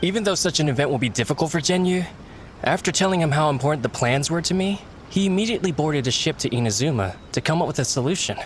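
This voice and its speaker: A man's voice: on the high side, animated, fast talker, slight background noise, lightly acted. A man voicing an earnest young editor. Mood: apologetic. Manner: sincere, apologetic, explanatory.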